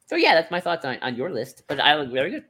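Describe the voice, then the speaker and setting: A podcast co-host in casual discussion, speaking in a hesitant, halting way as if thinking aloud. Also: mid-range voice, wildly swooping pitch, sharp tone, husky. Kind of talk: casual discussion of franchise books and comics.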